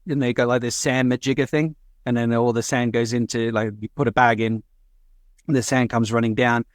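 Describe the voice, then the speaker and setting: A man's voice: medium pitch, level tone, brisk, no room sound, studio quality. A man co-hosting a podcast, chatting casually and rambling with lots of fillers. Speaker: podcast co-host. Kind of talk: casual chat.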